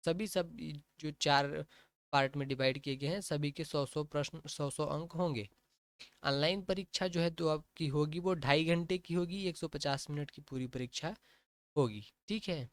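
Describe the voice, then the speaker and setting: A man with a fairly high, clean and resonant voice, recorded close and dry with no room sound. He explains exam rules step by step like a coaching teacher and keeps checking that his students follow. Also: moderate pace, dry recording. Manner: plain, repetitive explaining with frequent "ठीक है" checks. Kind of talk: explaining a job notification on screen.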